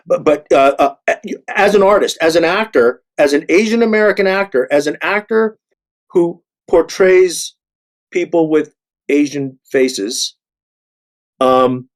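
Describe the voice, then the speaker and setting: A man of sixty speaking earnestly in a panel discussion, halting and repeating words for emphasis. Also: fairly high pitch. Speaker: actor on a panel.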